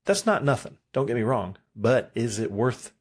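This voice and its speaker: A man's voice: mid-range voice, very animated, moderate pace, breathy edge. A man, a guest on a local podcast, speaking earnestly and repeating words as he thinks. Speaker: chamber of commerce chairman, guest. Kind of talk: casual interview chat.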